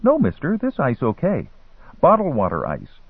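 A man's voice: medium pitch, huge pitch swings, normal speed, creaky, slightly rough, no echo, phone-quality sound, voice pushed up, exaggerated voice. A man voicing a persistent teenage boy hustler in a story, eager and wheedling in broken English. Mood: wheedling, insistent.

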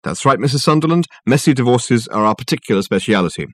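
A man's voice: medium pitch, animated, quick pace, fairly bright tone, dry recording. A man voicing a testy, grandiose private detective with pompous, glib, fluent patter. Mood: smoothly businesslike.

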